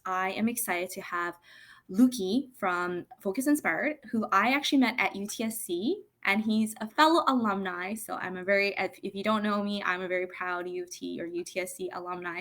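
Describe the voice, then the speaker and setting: A woman hosting a live interview over a video call, chatty and upbeat, full of fillers and restarts. Her voice sits at a middle pitch. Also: fairly bright tone. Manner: enthusiastic, chatty, many fillers. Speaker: host.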